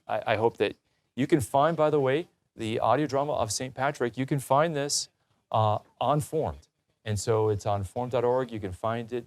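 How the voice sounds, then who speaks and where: A man's voice: on the low side, no room sound. A man hosting a religious talk show in a conversational, earnest and encouraging way.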